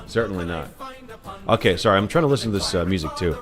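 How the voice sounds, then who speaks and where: A man's voice: low voice, lively intonation, fast talker. A man from New York hosting a live music stream, chatting casually and rambling with lots of "uh" and restarts. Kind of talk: casual chat reading viewer comments. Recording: live stream with loud music playing behind. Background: loud recorded Irish music.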